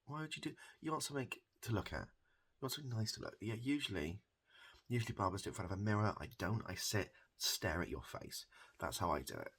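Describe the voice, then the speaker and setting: A man improvising a softly spoken ASMR comedy roleplay as a hairdresser, rambling and repeating himself in a halting, deadpan way. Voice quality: gravelly, husky low voice.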